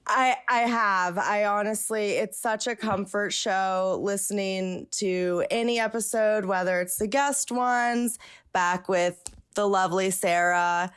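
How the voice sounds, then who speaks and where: A woman from West Virginia calling in to a podcast late at night, talked out, with vocal fry, chatting enthusiastically and speeding up when excited. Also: medium pitch, slow pace. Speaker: caller and guest. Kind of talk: casual call-in podcast chat. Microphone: video call.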